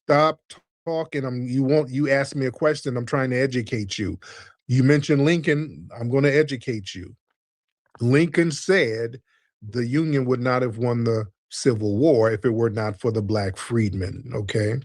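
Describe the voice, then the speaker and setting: A man hosts a heated live debate, lecturing and cutting in to make his point. His voice sits at an ordinary middle pitch. It is recorded close and dry, with no room sound. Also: moderate pace, dry recording. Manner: lecturing, interrupting, insistent. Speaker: stream host.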